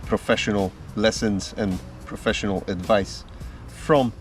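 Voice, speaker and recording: A man, talking outdoors in the woods as a drumming vlogger, easygoing and unhurried. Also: on the low side, fairly even intonation. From Slovakia. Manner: slow, easygoing storytelling with small pauses. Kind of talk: casual self-introduction to camera. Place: outdoors in woodland. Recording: distant mic with faint music behind.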